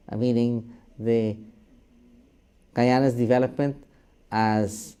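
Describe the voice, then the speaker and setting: A man with a mellow, mid-pitched voice hosts a TV interview. His delivery is formal and measured, broken into short phrases with deliberate pauses, in a broadcast studio style. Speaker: TV interview host.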